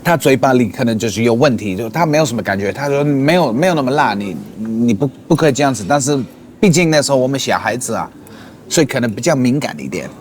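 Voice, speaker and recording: A man chatting as a guest, relaxed and storytelling, mixing English and Mandarin. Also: mid-range voice, fast, slight background noise. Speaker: guest, son. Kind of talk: casual chat.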